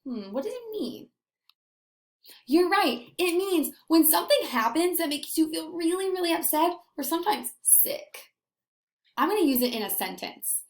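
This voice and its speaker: A woman teacher giving an expressive read-aloud lesson, asking young children questions and pausing for answers. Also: extremely high pitch. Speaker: elementary school teacher. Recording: echoey room.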